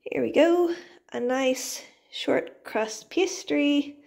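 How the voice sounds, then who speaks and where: A woman's voice: sits high, animated, extremely slow, gravelly, a little mellow. A woman hosting a casual homestead vlog, chatting informally to viewers about what she is doing. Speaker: vlogger, homestead host.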